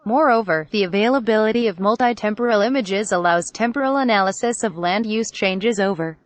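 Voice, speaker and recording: A woman's text-to-speech voice reading technical text aloud in a measured, impersonal manner. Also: medium pitch, no room sound.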